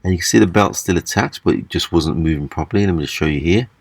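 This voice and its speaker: A man giving a casual, hands-on repair demonstration, explaining haltingly step by step. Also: low-pitched, vocal fry, no room sound. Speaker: repair video presenter. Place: small indoor room at a table.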